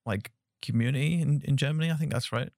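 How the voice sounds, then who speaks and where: A man's voice: mid-range voice, quick pace, vocal fry, darkish tone, no echo, studio quality. A young man hosting a podcast, chatting in a friendly, filler-heavy way. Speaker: podcast host. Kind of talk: casual interview chat.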